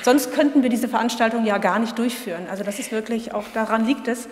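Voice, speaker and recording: A woman's voice: fairly high pitch, quick pace. A woman in her forties moderating a literary reading, talking loosely with plenty of fillers. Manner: warm, chatty, many fillers. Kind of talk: festival reading and interview. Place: gallery room at a literature festival. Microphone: table mic on a stand. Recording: echoey hall.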